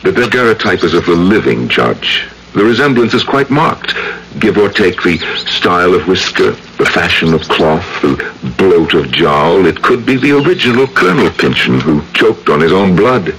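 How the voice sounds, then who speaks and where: An actor playing a brooding, enigmatic gothic portraitist and ghostly storyteller, theatrical and deliberate, in old-time radio drama. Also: male voice, deep voice, sing-song, a bit bright, slight background noise, heavily acted, poor audio. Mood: courtly, enigmatic. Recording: old radio broadcast with music bed.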